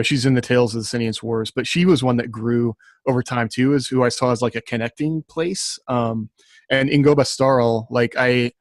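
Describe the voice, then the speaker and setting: A man's voice: mid-range voice, no echo. A man, an author on a podcast panel, talking casually and thinking aloud in a rambling way. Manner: rambling, thinking aloud, frequent "like" and "you know". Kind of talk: casual discussion.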